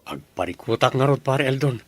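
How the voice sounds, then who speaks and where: A man's voice: medium pitch, animated, brisk, lightly acted. An actor playing a troubled young man torn between his girlfriend and his family in a radio drama.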